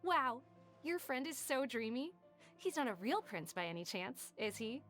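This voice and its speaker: A woman voicing a swooning, excitable young spectator in a video game, gushing as she talks. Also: fairly high pitch, normal speed. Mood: smitten, excited. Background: background music.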